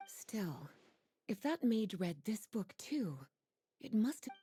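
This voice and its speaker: A woman playing a languid, teasing adult librarian in a video game, poised and breathy. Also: mid-range voice, big pitch swings, takes it slowly, warm tone, slight background noise. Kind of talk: animated video game voice acting.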